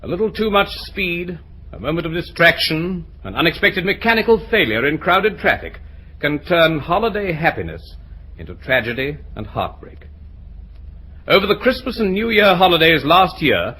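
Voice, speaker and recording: A man reading a formal, earnest, measured announcement as an old-time radio announcer. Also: on the high side, pushed and bright, a little hiss or hum.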